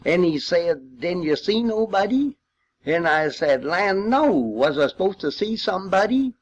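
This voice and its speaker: An older man from Missouri with a rural Missouri accent, reminiscing as a war veteran in a folksy, halting, rambling way. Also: high-pitched, very animated, dull audio. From Missouri, United States. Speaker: World War II veteran storyteller.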